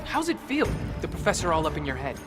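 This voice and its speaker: Wry young superhero, lively pitch. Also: male voice, fast talker. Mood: teasing. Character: wry, casual teenage male superhero in armor. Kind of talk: video game battle banter. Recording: game dialogue over music.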